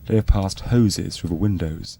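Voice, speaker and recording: A man playing an earnest, deadpan narrator of a spoof radio docu-soap. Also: low-pitched, moderate pace, creaky, slightly rough, no room sound, heavily acted. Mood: matter-of-fact.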